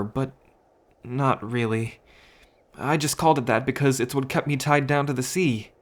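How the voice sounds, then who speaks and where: Man voicing a hesitant, high young merman. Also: lightly acted. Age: young adult. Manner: hesitant, stammering. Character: bewildered young merman turned half-dragon, timid. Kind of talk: ASMR story roleplay acting.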